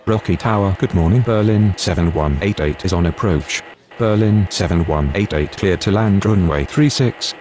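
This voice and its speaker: A man acts as a procedural airline radio voice in a game. He is low-pitched and formal, speaking in clipped set phrases. Faint noise sits under him. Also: normal speed, darkish tone, close to an everyday voice. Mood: businesslike. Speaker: pilot and air traffic controller voices.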